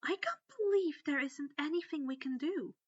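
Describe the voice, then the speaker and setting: A woman's voice: high-pitched, lively intonation, normal speed, dull audio, voice pushed up. A woman voicing a fierce, worried teenage witch girl in a storybook reading, emphatic and indignant. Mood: frustrated.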